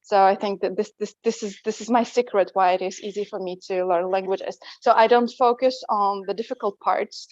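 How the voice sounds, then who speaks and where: A woman's voice: medium pitch, a little fast, hardly any pauses, no room sound. Guest, woman, Russian native speaking English, matter-of-fact, muffled dead-dry mic. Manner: calm, matter-of-fact, with hesitant fillers. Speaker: language teacher and guest. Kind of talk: interview answers. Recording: dull dry lo-fi sound.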